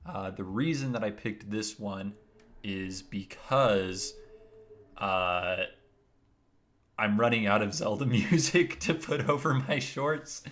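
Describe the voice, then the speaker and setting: A man with a naturally deep voice, explaining his video editing steps one by one in a casual, rambling livestream. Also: slow, a bit bright. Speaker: streamer.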